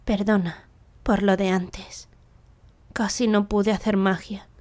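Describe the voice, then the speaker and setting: A woman voicing a shy, earnest teenage schoolgirl in an anime fan dub, soft-spoken, hesitant and apologetic. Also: mid-range voice, little pitch movement, slow pace, close to an everyday voice.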